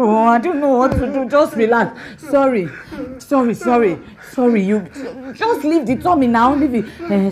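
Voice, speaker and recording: An actress playing a flustered young wife who keeps apologizing. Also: female voice, a little high, lightly acted.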